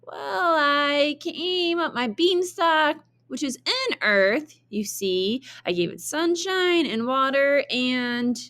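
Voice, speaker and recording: An eager, innocent storybook boy played by a woman reading aloud. The voice is high and sing-song, full of wide-eyed curiosity. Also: expressive, slow pace, hardly any pauses, fairly bright tone, heavily acted. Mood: innocent, chatty.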